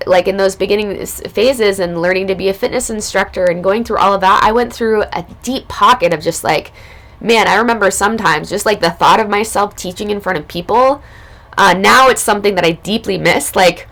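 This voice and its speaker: A woman recovering from illness, her voice hoarse and raspy, telling a personal spiritual story in a casual, loose way as a podcast host. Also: mid-range voice, normal speed, fairly bright tone. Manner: casual, loose, spiritual talk with many fillers.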